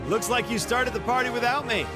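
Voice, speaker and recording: A man's voice: very high voice, brisk. A man playing a cocky young gunner in a video game. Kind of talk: English video game voice acting. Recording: loud music behind.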